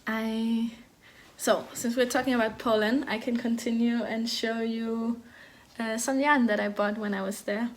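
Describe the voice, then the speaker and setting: Soft-spoken woman with an even, flat delivery. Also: a little high, unhurried. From Denmark. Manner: hesitant, many restarts and fillers. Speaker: knitting podcast host. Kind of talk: casual chat.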